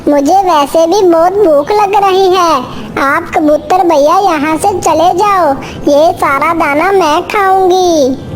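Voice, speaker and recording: A woman's voice: extremely high pitch, takes it slowly, a little hiss or hum. A woman voicing a small female bird, earnest and easily indignant, in a children's cartoon story, with music behind. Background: faint music.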